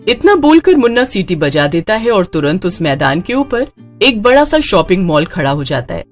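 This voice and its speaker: A woman narrates a horror story with dramatic, scripted delivery. Her mid-pitched voice moves in a lively, animated way as she tells the tale. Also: normal speed, faint music behind.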